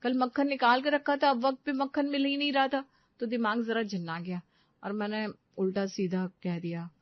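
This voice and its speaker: A woman's voice: fairly high pitch, steady tone, moderate pace, dry recording, natural voice. Woman acting an exasperated young Indian housewife, muffled audio. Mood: apologetic, flustered. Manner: scolding, fussy.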